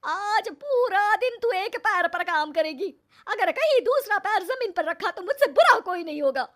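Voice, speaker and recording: A woman's voice: extremely high pitch, lively intonation, measured pace, a bit bright, pitched above the performer's own voice, exaggerated voice. A woman voicing a cruel, bossy older mother-in-law in a cartoon story, nagging and threatening harshly. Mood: scolding, threatening.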